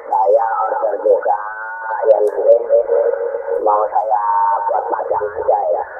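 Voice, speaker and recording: Muffled, slow man over CB radio. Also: lowish voice, level tone, slight background noise. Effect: CB radio transmission. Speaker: CB radio collector. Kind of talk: casual radio chat. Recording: muffled narrowband CB radio, music behind.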